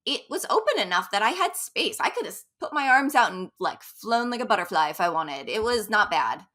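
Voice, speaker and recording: Bright-voiced woman running coach. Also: medium pitch, very animated. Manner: chatty, upbeat, quick asides with laughs. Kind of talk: casual interview chat.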